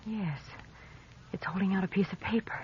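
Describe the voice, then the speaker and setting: A woman's voice: medium pitch, normal speed, breathy edge. An actress playing an alert, anxious female companion to a crime-fighter, earnest and questioning, in 1940s radio mystery style. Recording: dull old-time radio recording.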